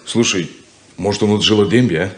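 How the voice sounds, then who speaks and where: A man's voice: on the low side, brisk, close to an everyday voice. A man playing a stern, reproachful elder brother in a 1970s Soviet radio play, with a brusque, nagging delivery. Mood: worried.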